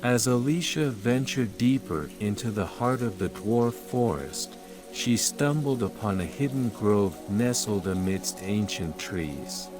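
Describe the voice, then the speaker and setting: A man narrating a scripted bedtime fairytale in a measured, soothing manner. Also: lowish voice. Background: rain and calm music.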